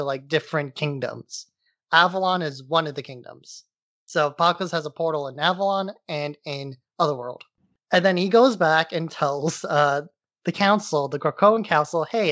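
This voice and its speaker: A man's voice: a little high, fairly bright tone, dry recording. Informal man recapping in a dry-sounding space. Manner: informal, irreverent. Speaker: podcast co-host. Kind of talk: casual plot recap.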